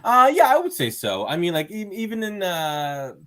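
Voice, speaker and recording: A man's voice: high voice, huge pitch swings, normal speed, bright, forceful tone. A man, a stand-up comedian, chatting casually as an interview guest, rambling with fillers and restarts and acting out quoted exchanges. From Canada.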